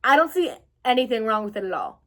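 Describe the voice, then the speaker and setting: Woman as an eager, high-voiced little brother. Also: sing-song, fast talker, fairly bright tone. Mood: cheerful, impressed. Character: cheerful, teasing little brother in a storybook.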